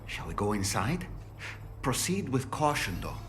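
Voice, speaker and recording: Music plays clearly behind a mid-pitched man as a measured crewmate in a game. His pitch moves a lot as he gives helpful advice. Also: very animated, fairly bright tone. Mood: cautious. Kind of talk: video game cutscene acting.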